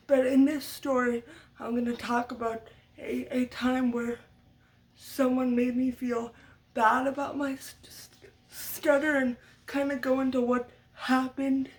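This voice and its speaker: Young woman w a stutter, high voice, talks slow, sounds a bit far from the mic. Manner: frequent stutter with repeated sounds. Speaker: vlogger. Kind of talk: sit-down story time talk. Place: home room with her dog nearby.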